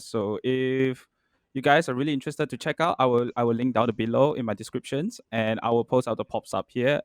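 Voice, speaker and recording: A man's voice: mid-range voice, no echo. A man hosting a podcast, chatting in a friendly, hesitant way with frequent restarts and fillers. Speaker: podcast host. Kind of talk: casual podcast conversation.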